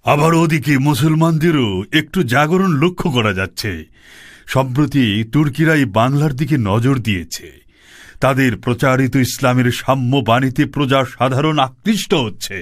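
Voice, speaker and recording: A man's voice: medium pitch, normal speed, dry recording, clean studio sound, natural voice. A man as a worried royal minister. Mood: deferential. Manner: formal, deferential. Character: cautious male royal minister, dutiful and worried. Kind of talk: animated cartoon voice acting.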